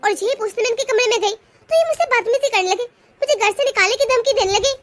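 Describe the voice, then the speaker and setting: A woman's voice: extremely high pitch, quick pace, fairly bright tone. A woman voicing a bold, defiant young daughter-in-law in a cartoon family drama. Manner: defiant, scolding.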